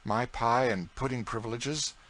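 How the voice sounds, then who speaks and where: A man's voice: mid-range voice, normal speed, a bit bright, a little hiss or hum, pitched above the performer's own voice, natural voice, rough recording. A man doing an eager young boy. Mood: playful. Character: cheerful teasing boy, young master.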